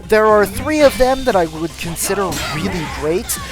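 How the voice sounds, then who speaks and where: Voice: mid-range voice, expressive, normal speed, over music. A narrator reading a scripted video game review as the host, with dry, self-deprecating humour.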